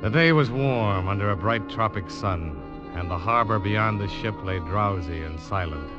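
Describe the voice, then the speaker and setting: A determined young American adventurer, acted by a man, low in voice and deliberate in delivery. He tells his tale with old-time radio drama gravity, on a muffled recording with prominent music. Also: natural voice. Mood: brooding dread. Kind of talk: old-time radio drama acting, first-person narration.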